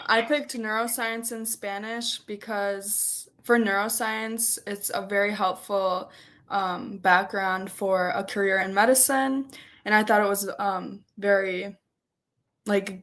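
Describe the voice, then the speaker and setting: A woman in her twenties, a native Polish speaker, answering interview questions as a student in a halting, conversational way with frequent filler "like". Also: mid-range voice, fairly bright tone. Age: 20s. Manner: hesitant, peppered with "like". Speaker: interview guest, first-year medical student.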